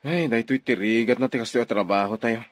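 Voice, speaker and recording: A man's voice: medium pitch, quick pace, natural voice. An actor playing a weary, anxious ship's crewman in a radio drama, earnest and worried.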